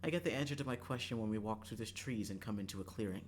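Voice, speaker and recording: A man livestreaming a visual novel, moving between casual, profane, joking chat and reading the game text aloud, quick to laugh. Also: fairly low pitch, faint music behind. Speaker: streamer playing a visual novel.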